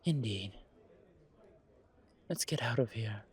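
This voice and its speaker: Man acting as a haughty male vampire, slow measured delivery, husky, fussy and formal, roleplay audio drama. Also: medium pitch, expressive, full character voice. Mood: reassuring. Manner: pompous, fussy, formal wording. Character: pompous haughty male vampire gentleman, disdainful yet protective.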